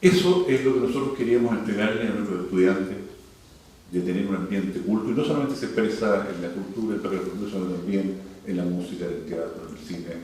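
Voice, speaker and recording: An older man with a Chilean accent gives a formal speech in a deliberate, emphatic way, pausing between phrases. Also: low-pitched, a little mellow. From Santiago, Chile. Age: 60s or older. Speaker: university leader, speaker at a cultural forum. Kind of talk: formal prepared speech with asides. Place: indoor cultural venue, picked up from afar. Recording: very echoey hall, distant mic, faint noise.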